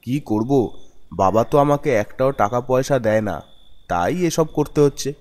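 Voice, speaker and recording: A man puts on a sly young thief from a village ghost cartoon. He talks to himself in a mid-pitched voice, smug, callous and scheming. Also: expressive, unhurried, darkish tone, close to an everyday voice. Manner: smug, scheming self-talk. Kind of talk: amateur cartoon villain monologue.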